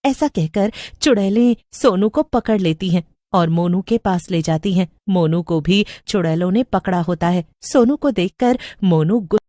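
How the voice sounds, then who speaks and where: Slow, dry-sounding woman storyteller. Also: fairly low pitch, expressive, warm tone, dry recording. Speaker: narrator. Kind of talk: scripted horror story narration.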